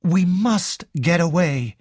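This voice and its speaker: A man's voice: fairly high pitch, expressive, slow pace, darkish tone, dry recording, clean studio sound, voice pushed up. A man voicing a young wife who pleads urgently and firmly with her husband. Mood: pleading. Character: young wife, desperate, loving, determined.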